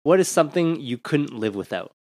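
A man hosting an interview podcast, firing off quick questions in an upbeat way and laughing easily. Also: fairly high pitch, expressive, a little mellow, dry recording, clean studio sound. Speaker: podcast host. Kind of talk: interview podcast with a rapid-fire question round.

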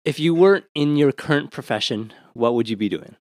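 A man hosting an interview podcast, firing off quick questions in an upbeat way and laughing easily. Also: medium pitch, lively intonation, dry recording, clean studio sound. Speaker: podcast host. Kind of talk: interview podcast with a rapid-fire question round.

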